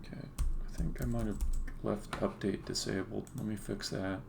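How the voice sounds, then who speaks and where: A man with a lowish voice full of heavy creak talks casually to himself while live-coding. He mutters and stops and starts as he thinks through each step. Faint noise, keyboard typing and clicking sit behind him. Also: fairly even intonation, moderate pace. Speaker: game developer live-streaming a coding session. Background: computer keyboard typing and mouse clicks.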